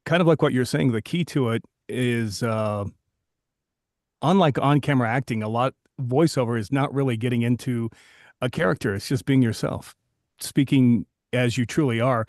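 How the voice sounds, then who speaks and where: A man's voice: mid-range voice, moderate pace, a little mellow, no echo, clean studio sound. A man co-hosting a podcast as a voiceover coach, chatting casually and joking with candid, occasional swearing.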